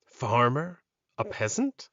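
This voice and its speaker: A man's voice: medium pitch, big pitch swings, slow, a bit bright, no room sound, muffled recording, exaggerated voice. A man voicing a boastful, haughty young royal boy in a fantasy audiobook. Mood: scornful. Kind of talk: audiobook character dialogue.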